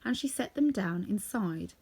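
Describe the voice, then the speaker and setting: A woman reading a children's story aloud as scripted, expressive narration. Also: mid-range voice, unhurried, slightly rough. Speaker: storybook reader.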